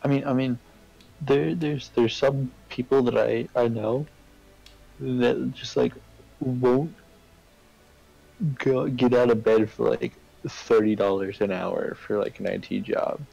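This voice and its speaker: A man's voice: medium pitch, fairly even intonation, takes it slowly, creaky, mellow, lo-fi sound, soft background music. A man chatting casually on a game stream, explaining things in a rambling way and checking in with the listener. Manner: rambling, explains with hypotheticals and tag questions. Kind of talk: casual chat during a game livestream.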